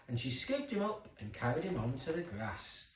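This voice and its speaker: A man's voice: mid-range voice, sing-song, moderate pace. A man narrating a children's story expressively, with dramatic emphasis for young listeners. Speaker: storybook reader. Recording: roomy echo, distant microphone.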